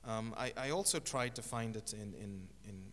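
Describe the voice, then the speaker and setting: Low-voiced man, thoughtful and halting. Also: a bit bright. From Zimbabwe. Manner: thoughtful, halting, many fillers and restarts. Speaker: guest. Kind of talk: reflective interview storytelling. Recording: echoey hall with faint noise.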